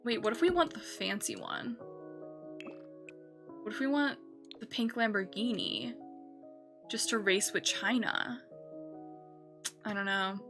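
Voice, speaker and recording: A woman's voice: mid-range voice, unhurried, pauses often, over music. A young woman streamer chatting casually while building in a game, rambling and correcting herself with lots of fillers.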